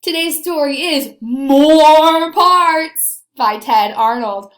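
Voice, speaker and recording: A woman teacher leading a read-aloud lesson for young children, stressing key words and putting questions to her pupils. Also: sits high. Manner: slow, deliberate emphasis with questions to pupils. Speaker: elementary school teacher. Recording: roomy, echoey sound.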